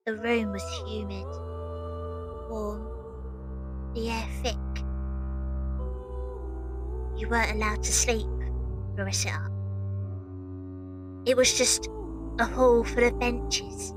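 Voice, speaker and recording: Woman as higher-voiced, unhurried young match girl. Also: flat delivery, music in the background. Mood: exhausted, grim. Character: weary, tough young Victorian match girl from the circus. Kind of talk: audio drama acting and narration.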